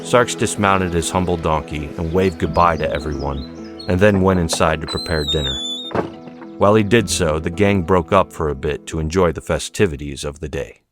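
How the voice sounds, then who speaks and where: A man's voice: sits low, moderate pace. A man reading a story as a dramatic audiobook narrator. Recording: music behind the voice.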